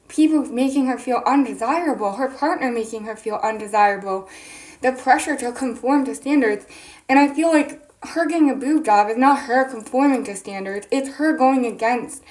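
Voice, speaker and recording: A young woman with a high voice, speaking earnestly and insistently to camera in an opinion vlog, pressing her points with indignant questions. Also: darkish tone, rough recording. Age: young adult. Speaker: TikTok creator.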